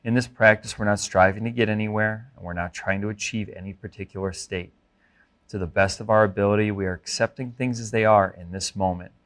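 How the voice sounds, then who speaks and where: A man instructing a guided body scan meditation in a gentle, measured, instructive manner. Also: lowish voice.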